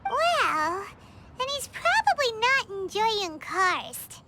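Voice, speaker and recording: A woman playing a tiny, chirpy, outspoken fairy companion with a squeaky childlike voice in a fantasy game. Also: very animated, slow pace, very noisy, full character voice. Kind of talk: scripted fantasy game dialogue. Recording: hall reverb, prominent music.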